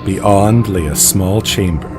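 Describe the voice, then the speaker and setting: A man narrates a dramatic audio story over clear background music. His delivery is very slow and suspenseful, set in a lowish register, with deliberate pauses between phrases.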